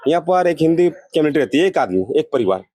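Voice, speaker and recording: A man's voice: on the high side, sing-song, fast, mellow, no room sound, studio quality. A man from India speaking as a local resident in a street interview, making earnest, repetitive and emphatic appeals. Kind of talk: street interview, opinion statement.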